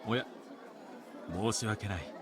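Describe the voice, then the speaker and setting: A man voicing a courteous, gentle, softly appreciative gentleman in a visual novel. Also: on the low side, normal speed, crowd noise, lightly acted. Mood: polite, admiring. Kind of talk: Japanese visual novel voice acting. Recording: game audio under noisy stream ambience.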